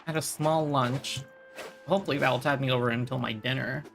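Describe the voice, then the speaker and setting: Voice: medium pitch, steady tone, a little fast, creaky, a bit bright. Live game streamer, mid-range speaking voice, informal thinking-aloud chat with faint music underneath.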